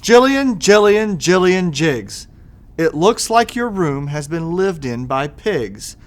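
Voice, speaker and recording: A man's voice: a little high, sing-song, slow. A man voicing an exasperated, scolding mother in a rhyming children's storybook, with a sing-song delivery. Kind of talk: children's storybook read-aloud.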